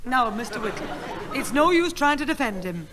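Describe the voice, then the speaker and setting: A woman's voice: a little high, big pitch swings, moderate pace, a crowd in the background, noisy background. An actress playing a stern, exasperated mother who scolds her son in a 1960s radio comedy. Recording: reverberant radio recording.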